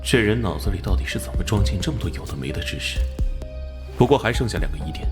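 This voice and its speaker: A man acting an earnest, authoritative police detective captain in a Chinese animated crime drama. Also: fairly low pitch, music in the background, lightly acted. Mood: analytical. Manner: authoritative, brisk, serious.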